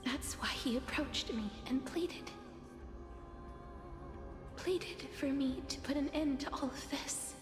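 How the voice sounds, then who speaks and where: A woman playing a gentle, sorrowful young woman bound to death in a video game, soft, breathy and solemn. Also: high-pitched. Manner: solemn, measured. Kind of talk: dramatic video game acting. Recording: echoey reverb, faint music underneath. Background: faint game music.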